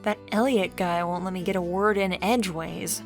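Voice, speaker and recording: A woman's voice: on the low side, fairly even intonation, normal speed, faint music behind, close to an everyday voice. A woman voicing a friendly, curious and forthright young woman with an upbeat, chatty delivery in a story. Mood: reassuring.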